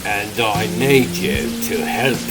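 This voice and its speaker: Villain sorcerer, male: imperious and menacing, very drawn-out pompous lines, music clearly underneath. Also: lowish voice, fairly even intonation. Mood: coaxing, ominous. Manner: pompous, grandiose, drawn-out commanding delivery. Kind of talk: dramatic comic dub acting. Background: dramatic music.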